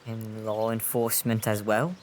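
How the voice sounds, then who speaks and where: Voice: mid-range voice, flat delivery, moderate pace, slight background noise, natural voice. An actor playing an earnest, self-reproachful street boy who leads a gang, in an audio drama. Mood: suspicious, worried. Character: earnest, guilt-ridden street-urchin boy leader.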